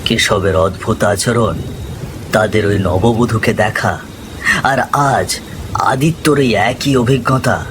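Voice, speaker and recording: A man's voice: on the low side, takes it slowly. Man narrating a ghost story over storm noise. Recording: clear rain and thunder noise behind. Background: rain, thunder, ambience.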